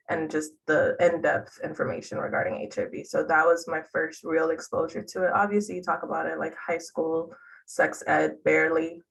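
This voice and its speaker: Young female interview guest from Haiti, lower voice, chatty, laughs between phrases, mic somewhat distant. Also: gravelly, fairly bright tone. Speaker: interview guest, public health worker. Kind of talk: conversational interview answers.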